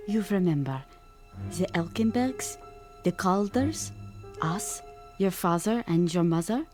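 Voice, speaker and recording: Mellow, low German wife. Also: female voice, lively intonation, slow pace, faint music behind. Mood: urging. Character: gentle, wise German professor's wife, calmly reassuring. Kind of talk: radio drama acting.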